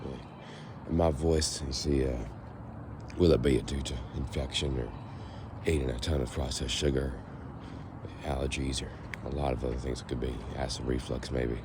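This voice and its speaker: A young man with a raspy, hoarse voice, rambling to the camera as he walks outdoors in a casual vlog. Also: very deep voice, fairly even intonation, moderate pace. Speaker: vlogger. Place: outdoors, walking on a foggy street. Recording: noisy outdoor recording with passing traffic.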